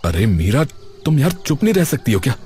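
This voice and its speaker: An actor playing a boastful, easily exasperated young city husband in a cartoon, with animated, slangy casual talk. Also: male voice, mid-range voice, a little fast, faint music behind, close to an everyday voice.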